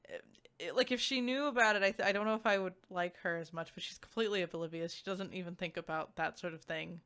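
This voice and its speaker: A woman giving a casual, chatty game review as a streamer, speaking informally and haltingly with lots of filler words. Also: lowish voice, a bit bright, smooth. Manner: informal, halting, filler-heavy speech with frequent "like".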